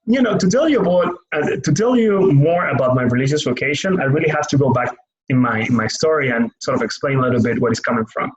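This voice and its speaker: A young man with a Cuban Spanish accent talks at a middle pitch, reflecting carefully and pausing to find the right words. He is a native Spanish speaker doing pastoral care work. The audio is slightly muffled and dull. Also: expressive. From Cuba. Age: young adult. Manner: thoughtful, searching for words. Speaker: lay pastoral care worker. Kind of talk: reflective personal interview monologue.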